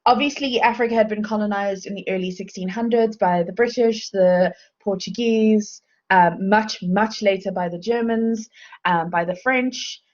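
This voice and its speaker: A woman's voice: mid-range voice, darkish tone. Woman lecturer on dull lo-fi audio. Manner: measured, explanatory, with frequent ums. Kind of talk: recorded university lecture.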